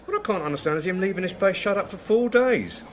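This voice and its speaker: A jovial, flirtatious drinker in a radio drama. His fairly high voice is colloquial, jokey and a little crude. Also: expressive, fast. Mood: jovial, cheeky. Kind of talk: British radio drama acting.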